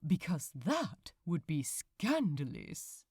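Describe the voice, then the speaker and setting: A woman voicing a prim, courtly gentleman who is fussy, formal and coyly teasing, in a story narration. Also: low voice, huge pitch swings, slow, breathy edge, dry recording, studio quality, voice pushed down, heavily acted. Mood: mock prim. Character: Azra, prim courtly gentleman, mischievous and affectionate.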